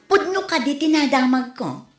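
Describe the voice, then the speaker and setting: Woman acting pleading neighbour lady, fairly high voice, reproachful, comedy radio drama, roomy echo. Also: lively intonation, normal speed, soft background music. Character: pleading female neighbour complaining about prices, asking credit. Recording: echoey room.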